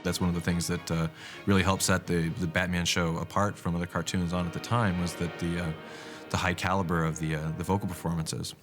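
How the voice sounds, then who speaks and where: A man's voice: low-pitched, level tone, fairly quick, over music. A man, a producer, reminiscing casually in a documentary interview. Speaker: animated series producer.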